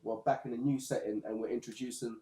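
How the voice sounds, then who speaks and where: Mellow-voiced man in an echoey room. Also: medium pitch. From Zimbabwe. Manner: relaxed, halting with repeated restarts. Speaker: podcast host. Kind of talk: casual book discussion.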